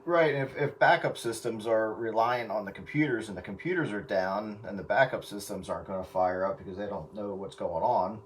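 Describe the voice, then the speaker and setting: Distant low-voiced man through heavy noise. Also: brisk, few pauses. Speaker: radio round-table participant. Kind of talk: casual chat.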